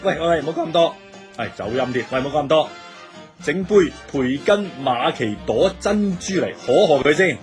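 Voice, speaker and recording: Teasing male cafe regular played by an actor, Hong Kong Cantonese, slightly high voice, talkative, drama scene over music. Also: animated, moderate pace, close to an everyday voice. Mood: cheery, teasing. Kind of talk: audio drama dialogue. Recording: music behind the voice.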